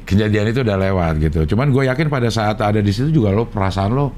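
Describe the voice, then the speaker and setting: Low-voiced Jakarta man hosting over background noise. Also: animated, fast talker, darkish tone. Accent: Jakarta Indonesian. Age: 50s. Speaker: interview host. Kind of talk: casual chat.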